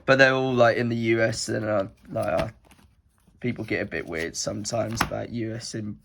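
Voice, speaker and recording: A man's voice: lowish voice, fairly even intonation, moderate pace, vocal fry, noisy background. A young man with a head cold that makes him sound nasal, rambling casually through his collection with lots of filler words. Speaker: card collector vlogger. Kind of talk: casual rambling show-and-tell.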